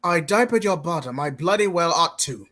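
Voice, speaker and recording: A man's voice: a little high, animated, normal speed, fairly bright tone. A man voicing a dry, scolding butler with a British accent. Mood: indignant.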